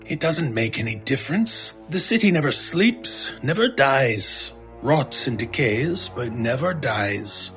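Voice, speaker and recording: A man's voice: medium pitch, expressive, slow, fairly bright tone, faint music behind. A man playing an exasperated, skeptical older antiques dealer in an old time radio drama, grumbling and dryly sarcastic. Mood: exasperated, wary. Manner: grumbling, dry sarcasm, weary storytelling.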